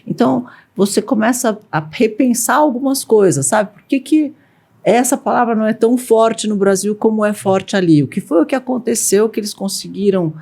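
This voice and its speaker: Woman, middle-aged, gravelly creaky voice, effusive conversational podcast host. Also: fairly high pitch, moderate pace, warm tone. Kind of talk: interview conversation.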